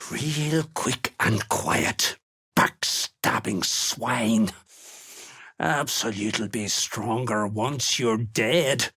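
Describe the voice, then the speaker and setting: Gruff, raspy, menacing dwarf slave hunter. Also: male voice, fairly low pitch, a bit bright, heavily acted. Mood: grim, threatening. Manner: blunt, threatening, folksy rustic turns of speech. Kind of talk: video game character dialogue.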